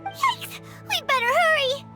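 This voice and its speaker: A squeaky, excitable fairy companion. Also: female voice, unhurried, exaggerated voice. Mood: alarmed. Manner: chirpy, exclamatory, refers to herself in third person. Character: tiny floating female fairy companion, excitable. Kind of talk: video game voice acting. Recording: game audio with music behind.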